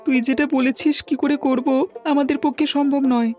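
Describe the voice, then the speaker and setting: A performer voicing a playful young village boy, a reluctant sidekick in a Bengali cartoon, excitable and pleading. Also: high-pitched, normal speed, soft background music, lightly acted.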